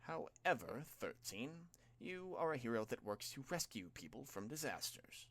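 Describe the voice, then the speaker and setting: A man voicing a villain made of dark mist in an audiobook, formal, measured and condescending as he coolly mocks. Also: medium pitch, very animated, no echo, voice pushed up.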